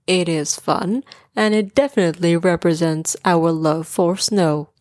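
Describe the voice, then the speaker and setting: Woman voicing a slow, low-pitched male polar bear. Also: darkish tone, no room sound, studio quality, lightly acted. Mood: pleased. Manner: slow, pondering delivery. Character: thoughtful, gentle male polar bear who sculpts ice.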